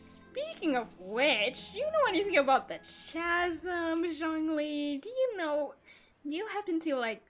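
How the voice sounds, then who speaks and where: Animated, high-voiced woman streaming a game. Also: a little hiss or hum. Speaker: livestream host. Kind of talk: casual gaming livestream commentary, reading game dialogue aloud.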